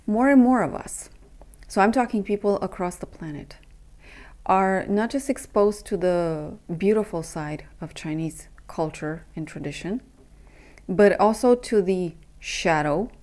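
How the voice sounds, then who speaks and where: A young adult woman from Romania, a coach, speaking earnestly in a halting personal monologue with many pauses and fillers. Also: fairly low pitch, darkish tone. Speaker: intimacy and breathwork coach.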